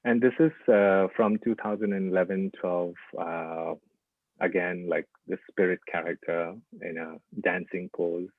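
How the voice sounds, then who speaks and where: A man from Nepal presenting his artwork in a halting talk, with frequent "uh" and "like" fillers. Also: low voice, steady tone, dry recording. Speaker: artist presenting his work. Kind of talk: informal lecture with slides. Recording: tinny, dry phone-like audio.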